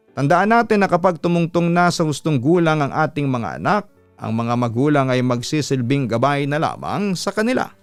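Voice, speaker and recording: A Filipino man narrates like a seasoned radio host, with a smooth, resonant voice in a dry, close sound. His delivery is measured and earnest, as if reading a personal letter and then offering counsel. Soft music plays behind him. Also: medium pitch, dry recording. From Philippines. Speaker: radio announcer and story channel host. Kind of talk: scripted narration of listener letters with advice. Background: background music.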